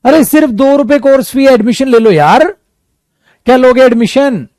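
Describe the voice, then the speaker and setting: A man teaching an English coaching class for competitive exams, talking to students as "beta" and "mere dost" and acting out their doubts in a lively way. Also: very high voice, normal speed, dry recording, studio quality.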